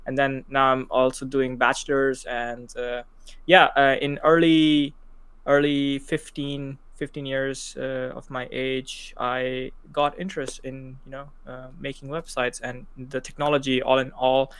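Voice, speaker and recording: A young man in his twenties, from Pakistan, talking as a guest in a casual interview, halting with many fillers and restarts. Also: medium pitch, level tone, fairly bright tone, smooth, no room sound. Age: 20s. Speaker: podcast guest.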